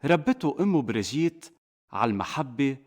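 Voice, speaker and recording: A man with a Lebanese accent narrating a scripted children's story as a father would, in an expressive way. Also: mid-range voice, fairly quick. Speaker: narrator, a father telling a saint's life to children.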